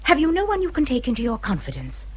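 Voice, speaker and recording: An embittered English widow in a period radio drama. Her voice is breathy and husky, with very animated pitch that rises and falls dramatically. She speaks formally and emphatically, as a wronged woman warning a friend. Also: quick pace, muffled recording, heavily acted. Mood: earnest warning. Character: bitter, injured widow warning a younger friend.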